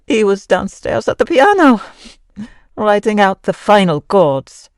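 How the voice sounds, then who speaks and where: A woman playing a grieving, sorrowful Frenchwoman in an old-time radio drama, speaking formally and haltingly. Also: medium pitch, very animated, no room sound, clean studio sound. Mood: wistful. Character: grieving Frenchwoman, wife of a mad composer, sorrowful.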